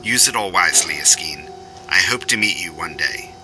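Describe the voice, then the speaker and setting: Man acting earnest, low-pitched time traveller, music behind. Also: animated, normal speed, low-quality recording. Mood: solemn, tender. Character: brilliant, urgent yet compassionate male alien time traveller. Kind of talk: full-cast audio drama acting.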